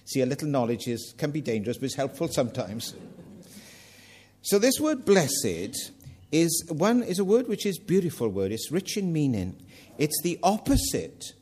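A man's voice: a little high, sing-song, moderate pace, darkish tone, breathy edge. An older man with a Welsh accent preaching a sermon, earnest with self-mocking humour. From Wales.

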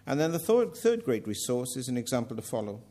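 A man's voice: mid-range voice, sing-song, quick pace, mellow. An older man with a Welsh accent preaching a sermon, earnest with self-mocking humour. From Wales.

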